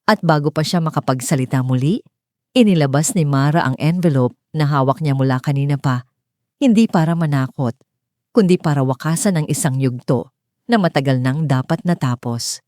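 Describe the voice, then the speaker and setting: A woman's voice: sits low, normal speed, a little mellow, dry recording, clean studio sound. A woman narrating a scripted dramatic story.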